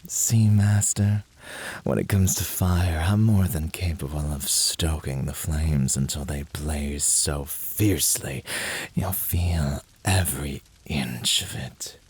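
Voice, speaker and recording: A man voicing a fiery, playful, devoted incubus in a flirty ASMR roleplay, with a breathy voice and a teasing, sultry, drawn-out and often whispery delivery. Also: rumbling low, steady tone, a little mellow, heavily acted. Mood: bold, seductive.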